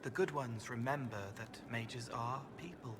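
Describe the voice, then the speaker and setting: An actor playing a gentle, otherworldly young man in a video game, speaking in dreamy, halting, poetic fragments. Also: medium pitch, heavy background noise, lightly acted. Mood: quiet, haunted. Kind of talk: video game dialogue acting.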